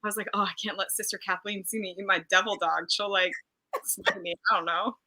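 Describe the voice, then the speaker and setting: Woman comic guest, very bright tone, animated and self-deprecating. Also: medium pitch, brisk. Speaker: comedian guest. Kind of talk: casual interview chat.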